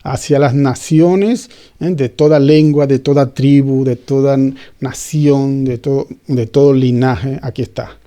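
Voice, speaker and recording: A man's voice: mid-range voice, steady tone, measured pace, mellow. A man giving an informal Bible teaching, talking conversationally with frequent restarts and checking questions to his listeners. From Spain.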